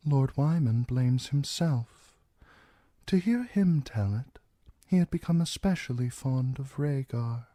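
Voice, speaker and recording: A man voicing a cold, soft-spoken older lord who murmurs everything mildly. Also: mid-range voice, animated, takes it slowly, no room sound, voice pushed up. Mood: mild, cool.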